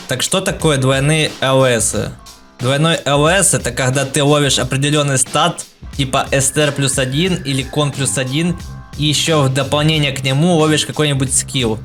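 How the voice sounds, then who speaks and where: A man's voice: mid-range voice, a bit bright. A man narrating a casual gaming tutorial in an informal, slangy, explanatory way, with a speech impediment on the L sound. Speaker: video game guide creator. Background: background music.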